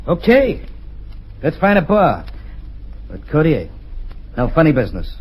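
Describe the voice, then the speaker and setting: A man's voice: on the high side, wildly swooping pitch, measured pace, darkish tone, husky, heavily acted, poor audio. A man playing a cold, commanding, ruthless gang boss in an old-time radio drama, clipped and bossy. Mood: threatening.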